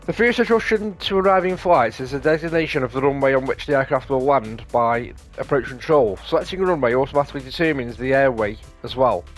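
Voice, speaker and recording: Mid-pitched man commentating on a video game. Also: quick pace, hardly any pauses. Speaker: gaming video host. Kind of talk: casual game commentary mixed with reading tutorial text aloud. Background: light game music.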